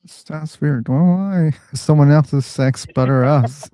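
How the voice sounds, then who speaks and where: A man hosting a casual live online discussion room, chatty and quick to laugh. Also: on the high side, dry recording, studio quality. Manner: relaxed, chatty, laughs easily. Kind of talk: casual live-stream chat.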